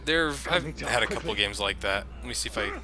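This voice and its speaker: A man's voice: on the low side, a little fast, noisy background. A man hosting a let's play, talking casually and rambling with lots of fillers as he plays. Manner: relaxed, rambling, with frequent fillers. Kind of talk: casual gameplay commentary.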